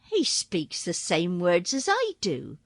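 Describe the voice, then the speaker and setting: A woman's voice: on the low side, wildly swooping pitch, takes it slowly, no echo, heavily acted. A woman voicing a direct, practical young woman cook in a storybook reading.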